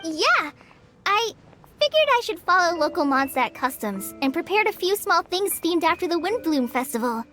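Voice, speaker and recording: A woman's voice: very high voice, very animated, normal speed, bright, forceful tone, faint music behind, heavily acted. A woman playing a shy, grateful young girl apprentice in a video game, sweet and sincere. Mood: warm, sincere. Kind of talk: scripted game dialogue acting.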